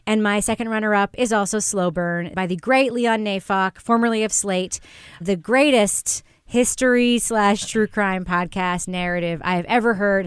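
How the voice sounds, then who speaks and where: Clear-voiced woman hosting a podcast. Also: mid-range voice, no echo, studio quality. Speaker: podcast host and moderator. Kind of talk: conversational podcast recommendations.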